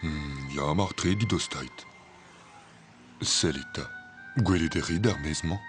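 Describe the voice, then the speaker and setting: A super deep old tree for a kids cartoon, gentle and grave, music behind. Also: male voice, slow. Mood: kindly, resigned. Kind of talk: dubbed children's cartoon acting. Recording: music under the voice.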